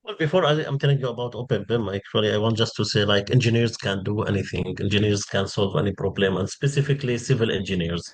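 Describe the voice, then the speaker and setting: A man's voice: lowish voice, quick pace, hardly any pauses. A man in his forties with a Syrian Arabic accent, explaining as an interview guest and repeating words as he searches for phrasing. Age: 40s. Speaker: interview guest, engineering expert.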